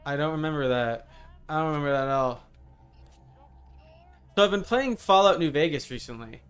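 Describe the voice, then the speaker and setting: A man's voice: a little high, lively intonation, moderate pace, a bit bright, smooth. A man giving casual live commentary as he streams a video game, rambling and easily sidetracked. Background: game music.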